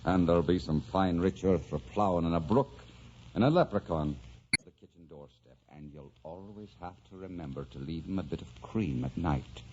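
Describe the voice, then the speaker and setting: Old radio play, guy acting a deep tender irish romantic, dreamy lilt, dull recording. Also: normal speed, crowd noise, lightly acted. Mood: playful, courting. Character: romantic Irish rebel man, tender and wistful farm dreamer.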